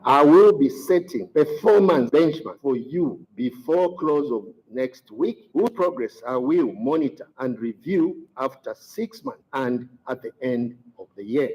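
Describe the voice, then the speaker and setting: A man's voice: medium pitch, animated, unhurried, few pauses, darkish tone, slightly rough. A man with a Zambian accent, a government minister, making a formal official announcement. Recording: dull, slightly lo-fi event audio.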